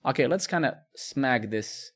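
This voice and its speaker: A man's voice: mid-range voice, expressive, measured pace, breathy edge. A man, chatting casually with viewers during a live coding stream, rambling and repeating words. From Serbia.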